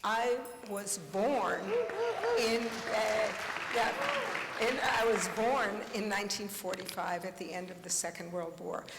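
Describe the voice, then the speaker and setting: A woman's voice: medium pitch, steady tone, a little slow, a loud crowd, heavy background noise. A woman in her seventies, speaking on a conference panel in a passionate, blunt way with asides and short laughs. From United States. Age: 70s. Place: large conference hall. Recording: echoey hall with long reverb.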